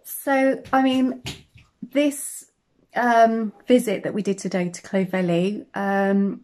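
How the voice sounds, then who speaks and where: A woman's voice: medium pitch, flat delivery, slow, a little mellow. A woman, chatting casually in a travel vlog, filming herself on a phone at arm's length. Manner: chatty, enthusiastic, many ums. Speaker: vlogger. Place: outdoors by the sea, later a home kitchen. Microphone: phone held at arm's length.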